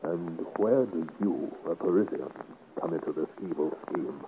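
A man's voice: low-pitched, moderate pace, natural voice. A man acting a gallant, indignant young French soldier in a formal, declamatory 1950s radio serial style. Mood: indignant, bold. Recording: narrow-band, hissy 1950s radio recording.

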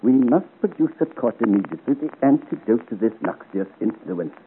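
A man's voice: medium pitch, expressive, phone-quality sound, noisy background, close to an everyday voice. Man playing a courtly old duke, thin vintage radio. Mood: grave, urgent. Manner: courtly, deliberate, theatrical. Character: elegant old scheming duke, nostalgic and courtly. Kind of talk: 1950s radio serial acting.

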